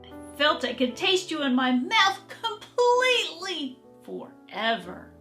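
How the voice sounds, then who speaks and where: A woman voicing a longing captive housecat who tells its own story in a children's book, dreamy, deliberate and dramatic. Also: sits high, big pitch swings, music in the background, pitched above the performer's own voice, heavily acted. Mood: hungry.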